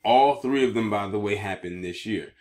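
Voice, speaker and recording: Low-voiced male online commentator. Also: moderate pace, a little mellow. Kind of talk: news commentary, reading articles aloud.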